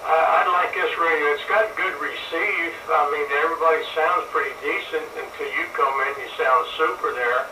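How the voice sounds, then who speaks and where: A man's voice: a little high, a little fast, few pauses. An older American man chatting casually on CB radio, rambling a little and hesitating between thoughts. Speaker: CB radio operator. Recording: roomy, noisy CB radio transmission, distant.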